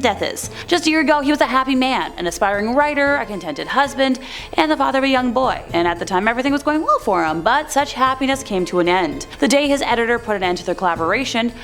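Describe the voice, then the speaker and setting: A woman narrating a scripted countdown as host, chatty and informal, with slangy asides straight to the viewers. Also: fairly high pitch. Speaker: host of a horror countdown video. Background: background music.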